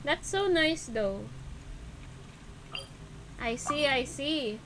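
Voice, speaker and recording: A woman's voice: high-pitched, expressive, extremely slow, slight background noise, rough recording. A woman with a Filipino accent chatting casually with viewers as she hosts a game livestream, switching between English and Tagalog. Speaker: livestream host playing a video game. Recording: game music playing loudly behind. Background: loud video game music.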